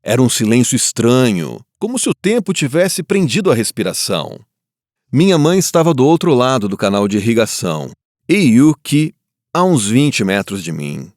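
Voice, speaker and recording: A man playing a grieving survivor of war, looking back in a solemn, reflective scripted first-person narration with weighty pauses. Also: mid-range voice, big pitch swings, a little mellow, dry recording, studio quality, close to an everyday voice. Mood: somber, grieving.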